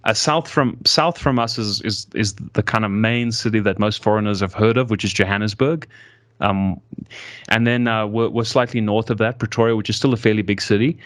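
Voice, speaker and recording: A man's voice: lowish voice, fairly bright tone, dry recording, studio quality. A man from South Africa whose speech mixes American and South African accents, thinking aloud as a guest in a conversational interview with frequent restarts. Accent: mixed American and South African English. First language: American English. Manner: hesitant, halting, with many restarts.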